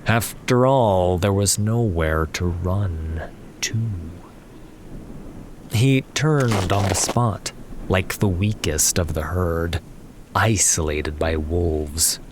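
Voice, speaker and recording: Slow, low-voiced man narrating fantasy. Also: noisy background. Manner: measured, deliberate phrasing. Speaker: audiobook narrator. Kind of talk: dramatic scripted fantasy narration. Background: prominent background music.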